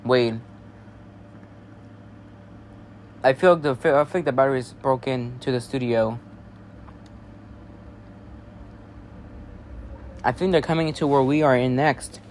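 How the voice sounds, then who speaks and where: A man acts out a flustered, easily panicked emergency newsreader in an amateur parody broadcast. The formal announcements keep slipping into rambling, with pitch that swings widely over light background noise. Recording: noisy amateur home recording.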